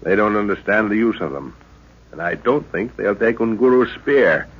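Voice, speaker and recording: A steady expedition professor in an old radio serial, low and a little husky. He weighs his words with thoughtful pauses. The recording sounds dull and lo-fi. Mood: calm, resolute. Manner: measured, thoughtful, hesitant with "uh". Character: scholarly middle-aged male professor, calm leader. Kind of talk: 1930s radio drama acting.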